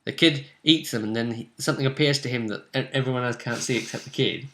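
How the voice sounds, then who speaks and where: A man casually talking through a tabletop role-playing game as game master, often pausing and thinking aloud in broken phrases. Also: medium pitch, fairly bright tone. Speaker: game master, host of a role-play vidcast. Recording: roomy, echoing room sound.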